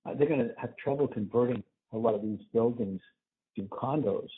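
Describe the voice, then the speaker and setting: A man's voice: medium pitch, brisk, slightly rough. Older man on a narrow phone line. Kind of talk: casual family phone chat.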